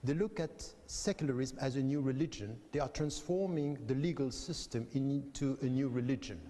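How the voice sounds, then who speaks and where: A male academic from Switzerland answers in a measured, explanatory way, repeating phrases to stress his point. His voice is mellow and dark in tone. Long reverb trails behind him in a large hall. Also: mid-range voice. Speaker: guest academic. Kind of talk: debate answers.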